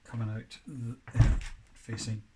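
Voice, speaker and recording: A man giving casual hands-on repair narration, halting and half talking to himself as he works. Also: mid-range voice, level tone. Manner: muttering to himself while working, halting. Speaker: DIY repair presenter. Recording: clearly noisy, with clicks and tool handling.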